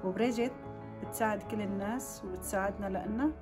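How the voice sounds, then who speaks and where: A woman's voice: medium pitch, very fast, music in the background. A native Arabic-speaking woman with a Levantine accent giving a testimonial to camera. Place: plain indoor room.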